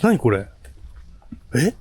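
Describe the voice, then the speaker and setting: A jittery man with a medium-pitched voice co-hosts an outdoor exploration of haunted places. He reacts casually to his companion and is easily spooked, while music plays behind him. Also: big pitch swings, drawn-out words, slightly rough. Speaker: co-host of a ghost-spot exploration show. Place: outdoors on location in a seaside park at night.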